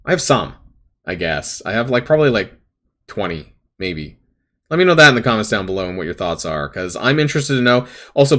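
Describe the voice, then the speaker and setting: A man in the middle of his pitch range talks casually to his channel's viewers about a game. He rambles, stacking fillers and correcting himself as he goes, and his voice has turned a little raspy. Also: fairly bright tone. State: slightly raspy voice. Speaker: gaming channel host. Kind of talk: casual gaming commentary to camera.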